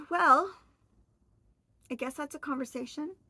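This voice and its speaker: A woman in her forties talks casually to the camera, rambling in a friendly way and correcting herself as she goes. Her voice sits a little high, and the recording is dry and close with almost no room sound. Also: animated, slow, bright, forceful tone, dry recording. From Oregon, US. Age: 40s. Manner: chatty, rambling, friendly, with fillers and self-corrections. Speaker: vlogger. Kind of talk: casual solo chat to camera.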